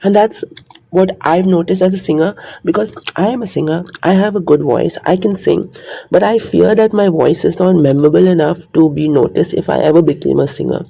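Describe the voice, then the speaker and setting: A man's voice: sits high, a little fast, gravelly, warm tone, slightly rough. A man talking in a rambling, earnest monologue to his viewers, repeating his points. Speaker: vlogger. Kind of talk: casual monologue to camera.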